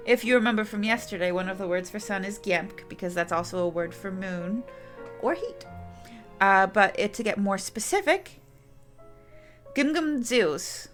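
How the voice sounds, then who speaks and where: Slow, rambling woman streamer. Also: mid-range voice, fairly bright tone. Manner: rambling, often restarting sentences. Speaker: game streamer. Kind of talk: casual chat to stream viewers.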